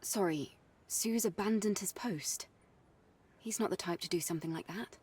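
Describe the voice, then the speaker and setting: An actress playing a composed, earnest young female warrior in a video game, speaking in a measured, matter-of-fact way. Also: mid-range voice, darkish tone, slightly rough. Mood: serious. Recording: slightly distant, music underneath. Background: faint music.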